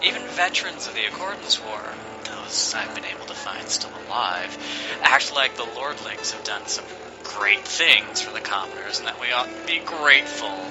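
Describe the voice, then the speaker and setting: A man's voice: medium pitch, lively intonation, normal speed, natural voice, low-quality recording. A man playing a skeptical, resentful commoner rebel in a fantasy audio drama, pointed and indignant, over music. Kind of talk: dramatic audio fiction dialogue.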